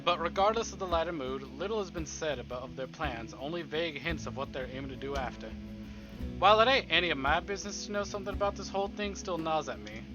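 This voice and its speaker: A man's voice: a little high, expressive, fast talker. A man streaming a visual novel, reading narration aloud and cracking playful, sarcastic comments in between. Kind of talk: live narration mixed with joking commentary. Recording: dull lo-fi stream audio over music. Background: game music.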